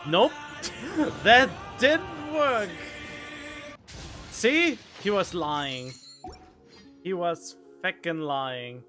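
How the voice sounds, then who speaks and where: Bright-voiced male gaming streamer, wry. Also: sits high, animated, extremely slow, pauses often. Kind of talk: casual playthrough commentary. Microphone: studio microphone. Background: game music.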